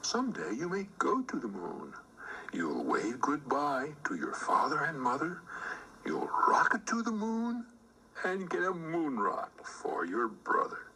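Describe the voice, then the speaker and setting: A man reading a children's book aloud in theatrical, deliberate scripted narration. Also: on the high side, huge pitch swings, noisy background.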